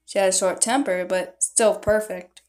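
A woman voicing a lovestruck, teasing male wizard of darkness in a fan dub, with soft, unhurried endearments. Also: medium pitch, fairly even intonation, close to an everyday voice. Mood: wistful, loving.

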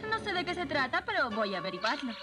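Una actriz con acento latinoamericano que interpreta a una joven guerrera orgullosa, seria y decidida en una caricatura doblada. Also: female voice, high voice, sing-song, fast. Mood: determined. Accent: Latin American Spanish. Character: proud, determined young warrior woman. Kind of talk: dubbed 1980s cartoon acting. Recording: loud music behind dubbed cartoon dialogue.

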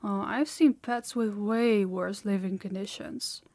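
A woman acts out a dominant, sadistic demoness in an intimate audio roleplay. She takes her words very slowly at a middling pitch, possessive and menacing, taunting her listener with haughty command. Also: fairly even intonation, a little mellow, no echo. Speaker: voice actor.

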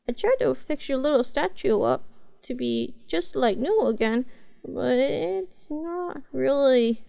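Cute neko girl: high, swooping voice, childlike and earnest, for roleplay audio. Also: gravelly, warm tone, full character voice. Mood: sad, apologetic. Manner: hesitant, childlike, halting phrasing. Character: cute, earnest, naive young cat-girl pet. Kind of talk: roleplay audio drama, speaking to the listener.

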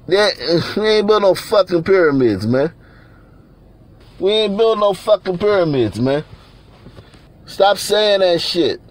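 A man from Nigeria giving blunt, profane, repetitive commentary over pictures, often laughing between points. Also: sits high.

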